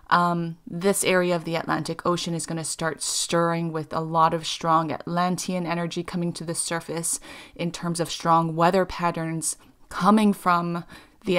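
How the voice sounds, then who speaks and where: Halting woman with a smooth, low voice. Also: fairly bright tone. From Hawaii. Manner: halting, many "um" fillers and restarts. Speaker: spiritual channeler. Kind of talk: channeled spiritual talk over a globe map.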